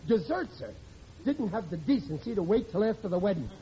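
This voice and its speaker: Through a dull, noisy old radio recording comes an indignant working-class husband and father. His voice sits high, and he blusters along, mangling phrases in classic sitcom style. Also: sing-song, brisk. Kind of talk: 1940s radio sitcom acting.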